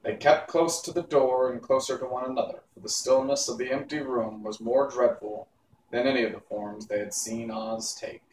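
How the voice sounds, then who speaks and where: A man reading a children's novel aloud as host, in a measured, theatrical storytelling manner. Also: medium pitch, steady tone, darkish tone. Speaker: host and reader. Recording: echoey room, distant mic, faint noise.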